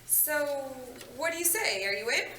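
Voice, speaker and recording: A woman's voice: sits high, animated, a little slow, pushed and bright, heavily acted. A woman playing an awkward, easily exasperated young goth woman at a comedy screenplay table read. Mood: tentative. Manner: exasperated, self-conscious. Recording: echoey meeting room, faint noise.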